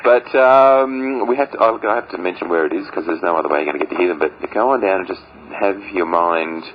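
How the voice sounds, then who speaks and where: Male radio host, low pitch, chatty, enthusiastic, joking, dull lo-fi sound with faint noise. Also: fast. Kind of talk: casual, chatty radio interview.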